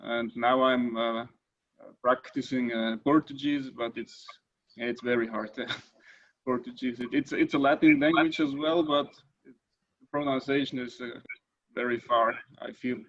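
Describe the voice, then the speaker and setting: A man chats in a hesitant way, often pausing on "uh" and restarting his sentences. His voice sits mid-range and comes through a slightly muffled call line. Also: medium pitch, steady tone, a bit bright. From northern Italy, German-speaking minority. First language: German. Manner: hesitant, many uh fillers and restarts. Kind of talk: casual video call chat.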